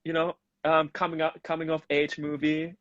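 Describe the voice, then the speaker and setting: A man's voice: a little high, completely flat delivery, normal speed, fairly bright tone, no room sound, dull audio. A man in his twenties from Thailand, a native Thai speaker, chatting as a guest on a casual live-stream interview, full of restarts and "you know". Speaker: guest, actor.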